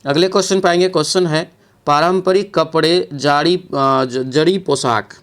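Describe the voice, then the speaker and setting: A man with a slightly high voice works through a practice quiz as a teacher. He reads each question out, then gives the answer and repeats it so that it sticks. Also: moderate pace. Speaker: exam prep teacher. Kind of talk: quiz walkthrough lesson, reading questions and giving answers.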